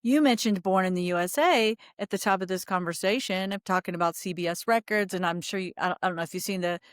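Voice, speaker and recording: A woman's voice: fairly low pitch, fast, no room sound, clean studio sound. A woman hosting a casual interview, talking in an effusive, meandering, conversational way. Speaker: podcast host.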